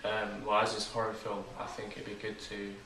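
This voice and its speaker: An eighteen-year-old man answering interview questions in a measured, tentative way. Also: on the low side, monotone, pushed and bright. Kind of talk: casual answers. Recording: echoey room, far from mic.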